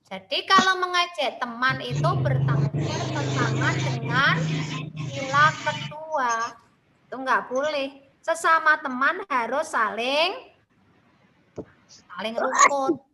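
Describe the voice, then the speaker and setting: Slow, high-voiced Javanese woman teacher. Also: animated, heavy background noise. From Central Java, Indonesia. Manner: slow, patient, addresses children with tag questions. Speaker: primary school teacher. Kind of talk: online class lesson. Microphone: video call.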